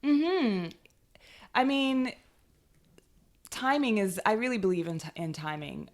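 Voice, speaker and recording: A woman's voice: fairly high pitch, slow pace. A woman in her thirties, a DJ and producer, chatting casually in an interview, laughing often and filling her talk with "like" and "you know what I mean.". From Canada. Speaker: DJ and producer guest.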